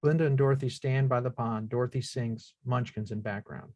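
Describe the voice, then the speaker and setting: A man's voice: medium pitch, steady tone, brisk, darkish tone, no room sound. A man reading screenplay action lines as scripted narration at a table read, plain and factual with small stumbles. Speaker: stage-directions reader.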